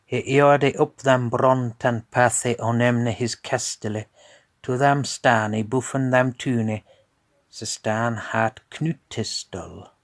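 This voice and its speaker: Man, storyteller, scripted narration, slow and deliberate, lowish pitch, dry close sound. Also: fairly even intonation, no room sound.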